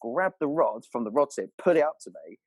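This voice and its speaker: A man's voice: medium pitch, sing-song, a little fast, husky, no echo, clean studio sound. A man telling stories casually as a podcast guest, in a matey, slangy way with plenty of swearing. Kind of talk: casual animated storytelling.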